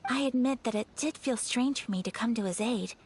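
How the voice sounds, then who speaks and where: An actress playing a polite, earnest young noblewoman in a video game, speaking in a formal, measured and reserved way. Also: on the high side, a little mellow, a little hiss or hum, natural voice. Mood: modest, earnest. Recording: game music behind the voice.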